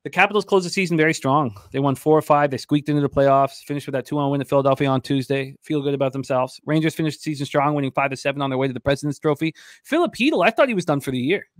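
A man's voice: on the high side, very fast, no room sound. A middle-aged man hosting a sports betting show, breaking down games conversationally in short, emphatic statements, close on a desk microphone. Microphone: large desk microphone, close.

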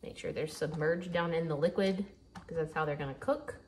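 A woman's voice: low-pitched, normal speed, very noisy. A woman hosting a home cooking video, chatting casually as she works at her kitchen counter. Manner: chatty, relaxed, talks while working with hands. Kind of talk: casual cook-along instruction. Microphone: overhead camera framing the counter. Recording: kitchen clatter of dishes and pans. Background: clinking dishes and pans.

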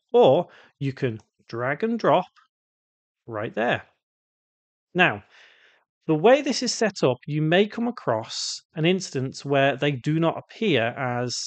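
Higher-pitched man narrating a software tutorial. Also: no room sound. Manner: explanatory, step-by-step. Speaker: tutorial host.

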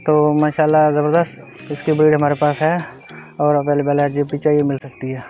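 A middle-aged man with a Pakistani accent talks informally in a flat, fairly high voice, repeating stock phrases. It sounds thin and phone-like. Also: a little fast. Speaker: pigeon farmer. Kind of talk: informal showing of livestock. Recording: phone-like thin audio, faint music. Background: faint music, cooing pigeons.

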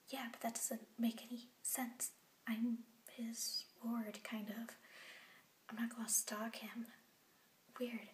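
A young woman playing an excitable orphan girl who secretly records a video diary at night, talking in a hushed whisper and rambling in bubbly bursts. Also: on the high side, reverberant. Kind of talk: scripted web series vlog monologue.

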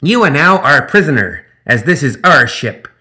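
A man's voice: medium pitch, sing-song, normal speed, bright, forceful tone, voice pushed up. A man voicing Captain Zip, a pompous male gremlin sky pirate who makes grandiose, self-important proclamations.

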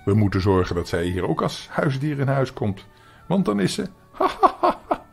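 A man's voice: medium pitch, big pitch swings, moderate pace, vocal fry, a little mellow, slightly rough, soft background music, pitched above the performer's own voice, heavily acted. A man voicing a silly, giggling male dog in a children's story, laughing through his words. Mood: helpless hilarity.

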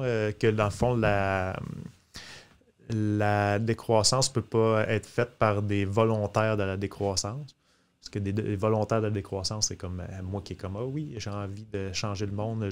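A man in his thirties with a Quebec French accent, explaining things casually and haltingly as a guest on a studio mic. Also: on the low side. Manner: hesitant, halting. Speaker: podcast guest. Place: small podcast studio, indoors. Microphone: close studio mic on boom arm.

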